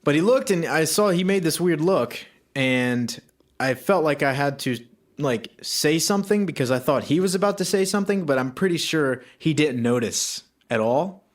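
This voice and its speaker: A young man co-hosting a podcast in casual comedic chat, joking, swearing and breaking into loud outbursts. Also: fairly high pitch, animated, normal speed. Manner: jokey, swears a lot, bursts into loud outbursts. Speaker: podcast co-host.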